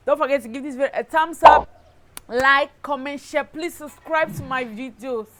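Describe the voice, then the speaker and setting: A woman with a Cameroonian accent telling a personal story in a casual vlog style, animated and emphatic, often checking in with the listener. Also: sits high, normal speed, very noisy. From Cameroon, southwest region. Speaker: lifestyle YouTuber, host.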